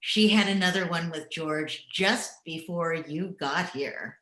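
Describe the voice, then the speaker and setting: A woman playing a wry, plainspoken, slangy member of the household in an old-time radio drama, dry and deliberate. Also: fairly low pitch, pushed and bright, reverberant, poor audio. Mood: wry, casual.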